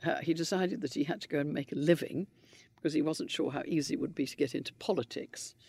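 An older man, answering interview questions in a measured, gentle and reflective way. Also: on the high side, gravelly, husky. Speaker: interviewee, widower of a politician.

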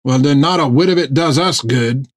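A man's voice: medium pitch, normal speed, no echo, studio quality, close to an everyday voice. A man voicing a skeptical male sailor who speaks bluntly. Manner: blunt, doubting. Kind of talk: audiobook character voice.

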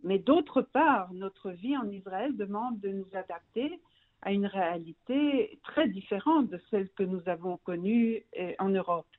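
A woman's voice: mid-range voice, lively intonation, a little slow. A middle-aged woman from Belgium being interviewed as a guest, searching for words and restarting her phrases. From Brussels, Belgium. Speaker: magazine founder, interview guest. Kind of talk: radio interview by phone. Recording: thin, narrow phone line.

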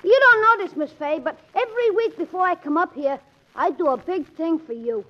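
A performer playing a cheeky, lovestruck young grocery boy in a 1940s radio comedy, brash and deadpan with his wisecracks. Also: very high-pitched, a little fast. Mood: smitten, cocky. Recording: noisy, muffled 1940s radio recording.

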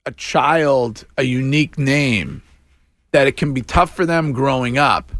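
A man's voice: mid-range voice, unhurried, a bit bright, dry recording. A man in his fifties from the Boston area, hosting a morning radio show and asking his guest questions in a chatty way. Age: 50s. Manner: chatty, curious interviewer. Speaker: radio host. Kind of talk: casual radio chat.

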